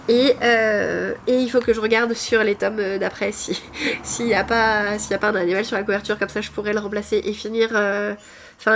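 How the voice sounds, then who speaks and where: A woman's voice: medium pitch, a little hiss or hum. A young woman with a stuffy, nasal voice from a cold, chatting casually and hesitantly about her reading, with many fillers and self-mocking asides. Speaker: booktuber. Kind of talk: casual reading vlog chat.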